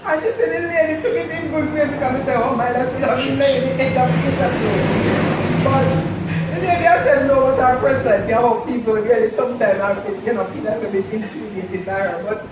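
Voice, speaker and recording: A middle-aged woman tells family stories at a steady, measured pace and stops now and then to hunt for a word. She sounds set back from the mic in a very echoey room. A steady hum of background noise runs under her voice. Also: sits high, far from the mic. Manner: measured, searches for words. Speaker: mother. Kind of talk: casual storytelling.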